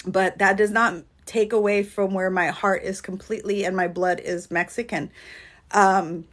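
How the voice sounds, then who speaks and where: A woman's voice: medium pitch, level tone, moderate pace, a bit bright. Woman, from California, dance choreographer, casual livestream chat, rambling, earnest, lots of fillers, phone mic. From California, USA.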